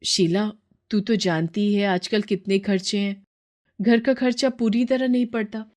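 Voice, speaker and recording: A woman's voice: medium pitch, steady tone, measured pace, a little mellow, close to an everyday voice. Woman as a humble, tender poor mother. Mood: earnest.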